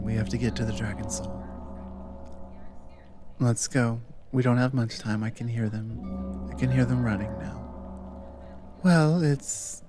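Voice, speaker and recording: A man playing a resolute, remorseful rebel guide in a dramatic audio roleplay, speaking in a hushed, reassuring, deliberate way. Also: medium pitch. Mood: urgent. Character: resolute, remorseful male rebel guiding a newcomer.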